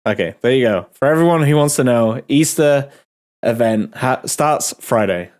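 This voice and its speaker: A man hosting a casual game developer Q&A, a bit ill and nasal, rambling with many pauses and restarts. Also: mid-range voice, animated, darkish tone. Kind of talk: casual dev talk Q&A.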